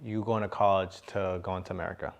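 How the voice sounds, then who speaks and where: A man hosting a podcast, asking quick follow-up questions with stumbling restarts. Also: deep voice, flat delivery, creaky. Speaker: podcast host. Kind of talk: conversational podcast interview.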